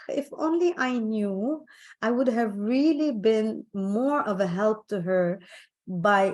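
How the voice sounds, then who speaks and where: Slow-paced woman giving a personal talk. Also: mid-range voice, darkish tone. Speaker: motivational speaker. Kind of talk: personal storytelling talk on self-esteem.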